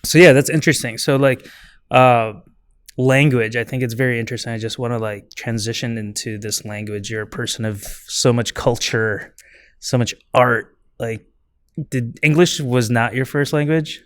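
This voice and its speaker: Smooth-voiced male podcast host, dry sound. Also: medium pitch, normal speed, no room sound. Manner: relaxed, acts out past exchanges in quotes. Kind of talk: casual interview chat.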